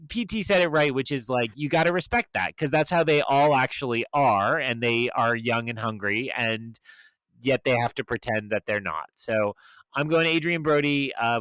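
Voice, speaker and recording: A man's voice: mid-range voice, fairly bright tone, smooth, no room sound, poor audio. Man on a film podcast, close dry sound. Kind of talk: casual awards discussion.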